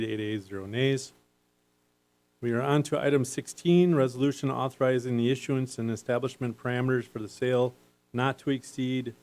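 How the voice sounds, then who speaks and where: A man of mid pitch runs a city council meeting from the chair. He reads motions, agenda items and vote tallies in a businesslike, procedural tone. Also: a little mellow, clean tone.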